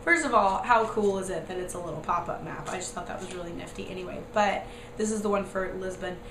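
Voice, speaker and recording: Young American woman vlogger, hoarse and croaky from a cold, rambling and casual; echoey room, noisy backdrop. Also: fast talker. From United States. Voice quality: raspy, squeaky and croaky. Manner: rambling, lots of "like" and "um". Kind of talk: casual chatty explanation.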